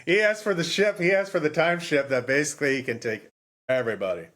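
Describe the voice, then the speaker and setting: A man's voice: a little high, fast, a bit bright. Rambling man chatting on a book podcast. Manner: rambling, repeats phrases, self-correcting. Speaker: podcast co-host. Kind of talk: casual unscripted book discussion.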